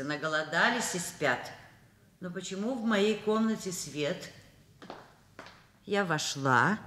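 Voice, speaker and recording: A woman's voice: on the low side, lightly acted. An actress playing a frank, kindly middle-aged professor in a Soviet radio play, wry and conversational as she talks and narrates.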